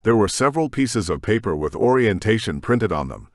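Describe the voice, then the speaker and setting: A man narrates scripted fiction in a fairly deep voice. His intonation moves widely as he tells the story, and the sound is dry, with no room around it. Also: very animated, fairly quick, no echo. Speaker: audiobook narrator.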